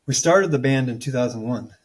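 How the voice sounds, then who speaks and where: A man's voice: mid-range voice, a little fast, breathy edge. Chatty older man telling stories. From Michigan, raised partly in Florida. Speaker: guest, band guitarist. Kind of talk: casual storytelling.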